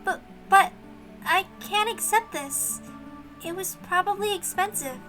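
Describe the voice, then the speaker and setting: A woman voicing a gentle, polite young servant girl, earnest and hesitant, in a story reading. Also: very high-pitched, unhurried, faint music behind, pitched above the performer's own voice, full character voice, low-quality recording. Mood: flustered.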